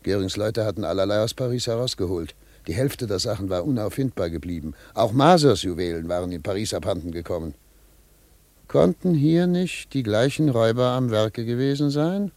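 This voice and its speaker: Theatrical middle-aged lawyer, swooping intonation. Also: male voice, fairly low pitch, animated, a little fast, darkish tone, no echo. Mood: pensive. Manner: theatrical, pondering aloud, repeating words for emphasis. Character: brisk, self-assured American lawyer at Nuremberg tribunal, reminiscing narrator. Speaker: narrator and lead character. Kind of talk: German radio play acting.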